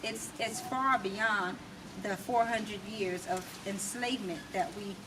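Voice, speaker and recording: An American woman giving her opinions as an interview guest, emphatic and rhetorical, speaking in deliberate, repeated phrases. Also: mid-range voice. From United States. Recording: distant mic with clear background noise.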